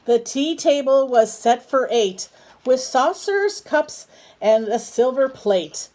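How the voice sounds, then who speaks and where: Unhurried, higher-voiced woman reading a children's story. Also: lively intonation, warm tone. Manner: unhurried, asks simple coaxing questions. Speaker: storyteller reading a picture book aloud. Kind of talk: children's read-aloud with chatty asides to a bird.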